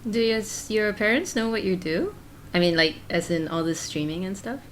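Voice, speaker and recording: A woman's voice: medium pitch, lively intonation, moderate pace, slight background noise. A woman in her twenties, a guest streamer who chats casually, asks a lot of questions and laughs often. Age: 20s. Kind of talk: casual chat.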